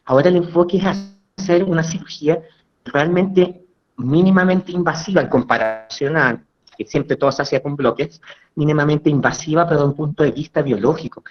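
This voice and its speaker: A man's voice: a little high, a little fast. Chilean man on a muffled video call. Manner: warm, complimentary, conversational with small restarts. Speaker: guest dental surgeon. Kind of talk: online webinar commentary. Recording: dull lo-fi video call audio.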